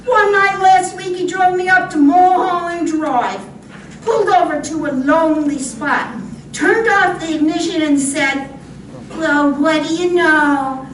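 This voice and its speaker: A woman playing a gossipy, wisecracking 1940s telephone operator in an old-time radio comedy, with a sardonic, drawn-out delivery. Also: extremely high pitch. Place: live radio-play performance in a hall. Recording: distant, echoey live stage, light noise.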